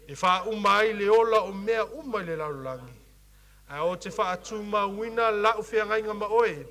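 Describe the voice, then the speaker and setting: A man with a Samoan accent, a youth leader preaching a sermon who also reads scripture, often pausing and restarting as he searches for English words. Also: high-pitched, a bit bright. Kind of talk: bilingual sermon with scripture reading.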